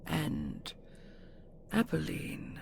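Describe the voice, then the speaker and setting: A regal dragon queen speaks in a low, heavily creaking growl. She is cold, possessive and imperious, drawing out each threat very slowly, as in a fantasy audio roleplay. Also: wildly swooping pitch, darkish tone, a little hiss or hum, exaggerated voice. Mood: commanding. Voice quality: gravelly, growling. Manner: imperious, slow, deliberate, threatening.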